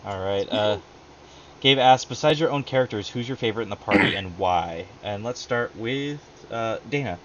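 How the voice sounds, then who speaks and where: A man hosting a tabletop game stream as game master, losing his voice, running the questions briskly. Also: on the low side, lo-fi sound, noisy background. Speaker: game master and show host. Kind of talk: casual post-game chat.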